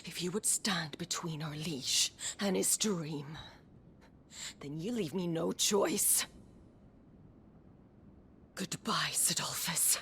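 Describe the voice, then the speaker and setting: An actress playing a proud, bitter, seductive sorceress in a fantasy video game, haughty and theatrical, at times breathy. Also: female voice, fairly low pitch, slow pace, long pauses, a bit bright, a little hiss or hum. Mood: bitter, cold. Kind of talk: cinematic fantasy game acting.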